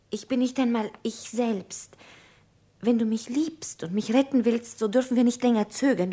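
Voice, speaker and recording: An actress playing a desperate, secretive young woman in a German radio play, husky and urgent, speaking in short pleading phrases. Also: a little high, a little mellow.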